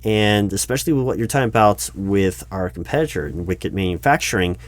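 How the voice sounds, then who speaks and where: A man roleplaying a skeptical but polite hiring manager in a one-sided interview, speaking out loud in a halting, stammering way. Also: on the low side, animated. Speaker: roleplay performer as recruiting manager. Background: computer keyboard typing.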